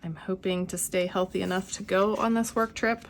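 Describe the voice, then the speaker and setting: A middle-aged woman with a cold and a slightly hoarse voice, musing aloud in a casual plan-with-me vlog at home. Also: mid-range voice, steady tone, a little hiss or hum. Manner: unhurried, musing aloud while crafting. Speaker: planner vlogger. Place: quiet home.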